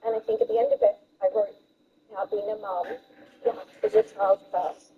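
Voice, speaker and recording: A woman speaking informally and haltingly in a personal, reflective talk. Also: medium pitch, big pitch swings, creaky, narrow, tinny audio. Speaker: mother. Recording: thin band-limited sound, faint noise.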